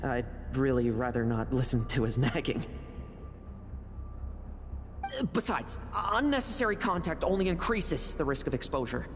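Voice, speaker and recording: An actor playing a blunt, pragmatic young schemer in a video game, weary and cynical, with a dry, lecturing way of talking. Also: male voice, medium pitch, lively intonation, a little fast, over music, lightly acted. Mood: cool, impatient.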